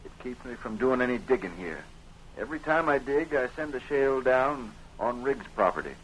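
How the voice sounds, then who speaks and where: An American actor playing an honest, frustrated gold miner in 1930s radio drama style, with folksy rustic speech. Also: male voice, mid-range voice, lively intonation, brisk, close to an everyday voice. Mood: discouraged, aggrieved. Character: honest, frustrated male gold miner, plain-spoken frontier husband. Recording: noisy 1930s radio recording.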